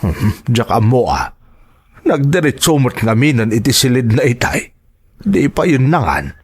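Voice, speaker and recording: A man acts as a puzzled husband and father in an Ilocano family audio drama. He has an Ilocano accent and a raspy voice. His intonation moves around in a lively way. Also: medium pitch, measured pace.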